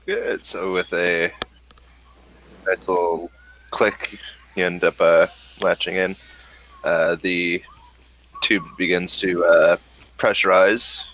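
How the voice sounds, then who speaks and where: A man running a tabletop role-playing session as game master, talking in a relaxed, halting way with many fillers. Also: deep voice, slight background noise, rough recording.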